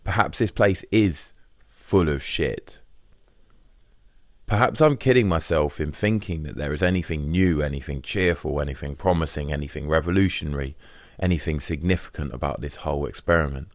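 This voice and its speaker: A man's voice: rumbling low, moderate pace, dry recording, studio quality. A man reading a first-person diary as scripted audiobook narration.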